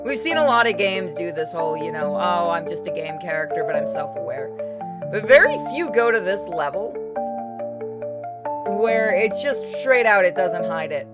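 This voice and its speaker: A woman's voice: fairly low pitch, big pitch swings, moderate pace. A woman giving casual, blunt commentary as she plays through a game. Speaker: game streamer. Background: game music.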